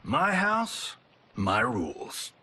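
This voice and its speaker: A man's voice: medium pitch, wildly swooping pitch, very slow, heavy background noise, full character voice. An actor playing a cocky, fading martial arts movie star in a video game, talking like a brash showman. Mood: defiant, cocky. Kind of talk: cinematic video game acting.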